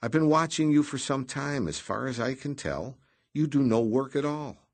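A man's voice: medium pitch, lively intonation, normal speed, dry recording, voice pushed up. A man playing a dry, sardonic scientist. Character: dry sardonic male scientist, small and elegant.

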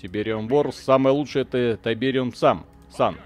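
A man's voice: medium pitch, moderate pace, darkish tone, soft background music. A man hosting a live video game stream, chatting casually in slangy, joking language with mild expletives.

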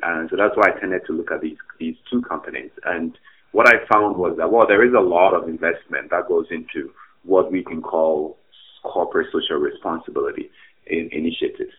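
A man's voice: low voice, a little fast. A man from Ghana, an academic guest on a podcast, answering thoughtfully with many fillers and restarts. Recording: tinny phone-like remote line.

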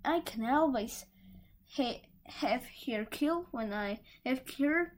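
A woman voicing a commanding, curious male Sultan in a storybook, with a deliberate, halting delivery. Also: high-pitched, animated, voice pushed up, heavily acted. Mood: cool curiosity.

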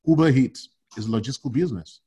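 A middle-aged man from Nigeria, a logistics consultant talking casually in an interview, animated and full of restarts and "you know". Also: mid-range voice, quick pace, slightly rough.

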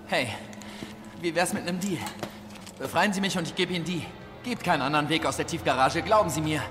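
An actor playing a sardonic, desperate prisoner with a coarse mouth in an audio drama. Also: male voice, a little high, a little fast, natural voice. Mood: bitter, bargaining. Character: cynical, desperate jailed male informant. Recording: long hall reverb, loud music bed.

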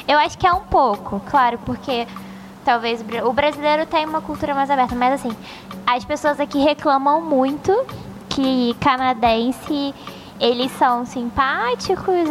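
A Brazilian woman hosting a casual podcast, talking in a lively way. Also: high voice, a little hiss or hum. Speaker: podcast host.

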